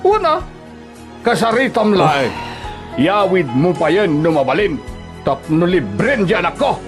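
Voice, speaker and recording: An actor with an Ilocano accent playing a bullying, scornful middle-aged father-in-law in a radio drama, mocking and quick to anger. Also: high voice, big pitch swings. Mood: bitter, angry. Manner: mocking, haranguing. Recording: background music under radio drama.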